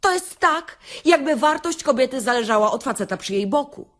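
A woman's voice: high voice, animated, normal speed. A woman playing a brash, sarcastic beautician in a satirical radio-play monologue, theatrical and full of rhetorical questions to her listeners. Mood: exasperated. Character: brash, sarcastic beautician delivering a comic self-help rant. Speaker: actress reading a comic monologue.

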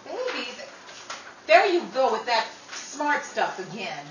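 A woman's voice: high-pitched, very animated, takes it slowly, a bit bright, heavily acted. A woman playing a hot-tempered, protective older sister in a 1930s radio drama, brash, scrappy and slangy. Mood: confrontational. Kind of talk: old-time radio drama acting. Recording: roomy, distant mic, faint noise.

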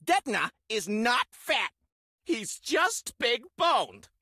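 A woman's voice: on the high side, sing-song, extremely slow, bright, forceful tone, breathy edge, dry recording, full character voice. A woman voicing a small, cocky, greedy male creature trader for a video game, with a brash, taunting, theatrical manner. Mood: smug, grumbling. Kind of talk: video game character voice lines.

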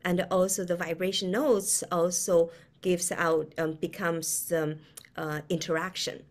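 A middle-aged woman from China with a Chinese accent, explaining in a conversational, halting way as an interview guest, often with a chuckle in her words. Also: fairly low pitch, flat delivery. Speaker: guest, quantum physicist. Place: indoor room, video call.

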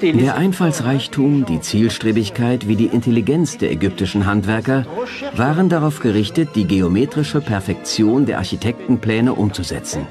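Animated man over clear background music. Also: lowish voice, normal speed. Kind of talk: scripted documentary commentary.

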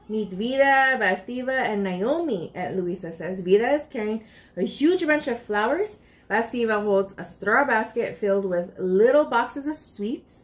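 A woman in her thirties reading a picture book aloud expressively and speaking directly to children. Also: on the high side. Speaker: library storytime reader. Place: furnished room indoors.

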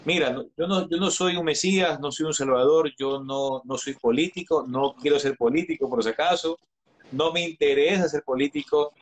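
A man in his thirties with a slightly high voice speaks earnestly as an interview guest. He gives advice in a calm, reflective way, often restarting his phrases. His voice comes through a webcam on a video call from his home. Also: moderate pace. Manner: earnest, advising, frequent restarts and hesitations. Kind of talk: earnest live-stream conversation.

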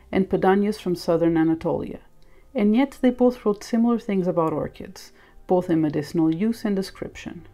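A woman narrates an educational script in a fairly low, mellow voice with a lot of vocal fry. She sounds like a documentary presenter. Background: music.

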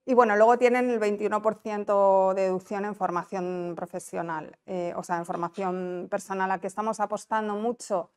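Female presenter, non-Canarian Spanish accent, smooth clear voice, explanatory and conversational, informal business talk on a lapel mic. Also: medium pitch, fairly even intonation, normal speed, few pauses. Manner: explanatory, conversational, checks understanding with tag questions. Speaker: conference presenter, business incentive adviser. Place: small indoor conference room.

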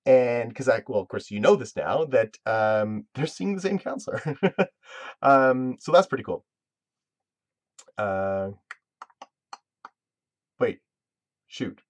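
Man, 30s, casual live-stream host, rambly with many fillers and restarts, dry close webcam sound, mid pitch. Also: expressive, slow pace, long pauses, no echo. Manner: rambling, self-interrupting, full of fillers. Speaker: web series creator hosting a live Q&A.

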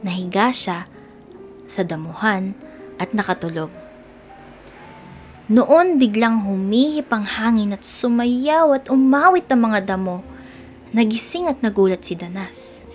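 A woman with a Filipino accent narrating a scripted children's storybook in an expressive read-aloud style. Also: medium pitch, measured pace, long pauses, soft background music.

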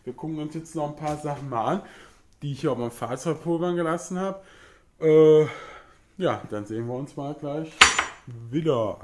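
A man's voice: on the high side, moderate pace, background noise. A man in his thirties with a cold, from Germany, casually explaining car parts in a home garage vlog with lots of filler sounds. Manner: talkative, frequent filler sounds, matter-of-fact. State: has a cold. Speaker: car hobbyist YouTuber. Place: home garage workshop, indoors. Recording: echoey garage room.

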